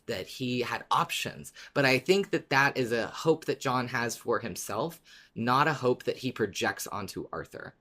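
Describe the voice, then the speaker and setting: A podcast host with a medium-pitched voice. The talk is casual but thoughtful, laid out in a measured, even-handed way. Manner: reflective, measured, weighing both sides.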